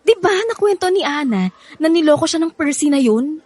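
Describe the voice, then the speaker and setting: Brash, bitter Filipino older sister, high and animated, blunt, theatrical radio drama. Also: female voice, brisk, no echo. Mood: grumbling, nosy. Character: bitter, brash, overprotective older sister, confrontational young woman.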